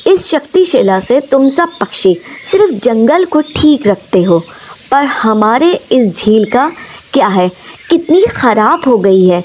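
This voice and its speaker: A woman's voice: on the high side, very animated, slow, a little mellow, slight background noise. A woman voicing a persuasive, possessive mermaid in a cartoon story, speaking insistently. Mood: defiant. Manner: coaxing, insistent.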